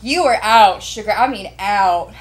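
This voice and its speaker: A woman voicing a loud, brash new mother in a story, with a brassy, teasing manner. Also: medium pitch, a little slow, natural voice. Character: loud, brash young mother on maternity ward.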